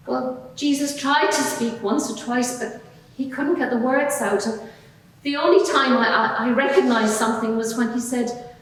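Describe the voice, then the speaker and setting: Woman acting as a frank earnest witness in her forties, highish voice, halting, big echoey courtroom. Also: fairly even intonation, lightly acted. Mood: sombre. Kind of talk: dramatised courtroom witness testimony. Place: large reverberant courtroom. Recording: echoey hall, distant microphone.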